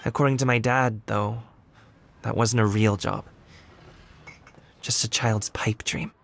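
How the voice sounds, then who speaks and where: A man's voice: fairly low pitch, fairly even intonation, unhurried, vocal fry, fairly bright tone, slight background noise. A man playing a friendly young wushu dancer in an anime game, speaking in a breezy, sincere way. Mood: earnest.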